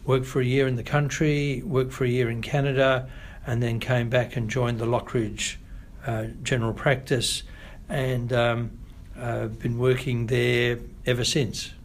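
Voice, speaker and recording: A middle-aged Australian man, a family doctor, answering interview questions in a measured, thoughtful way with frequent pauses. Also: mid-range voice, little pitch movement, slight background noise. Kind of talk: podcast interview.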